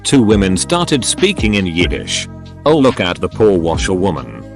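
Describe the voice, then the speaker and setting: Male voice-over reader, lowish pitch, steady delivery, light music bed underneath. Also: moderate pace. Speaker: narrator reading online posts. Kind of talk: scripted narration. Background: soft background music.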